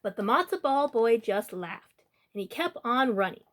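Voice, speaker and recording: A woman's voice: on the high side, very animated, normal speed, a bit bright. A woman hosting a storytime, reading a children's picture book aloud as narrator.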